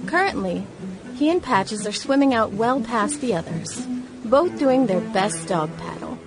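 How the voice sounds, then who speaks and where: A woman's voice: fairly high pitch, lively intonation, measured pace, music in the background. A woman playing a young lady of 1916 reading her diary in a radio play, with prim, old-fashioned, wry phrasing. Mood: amused, observant.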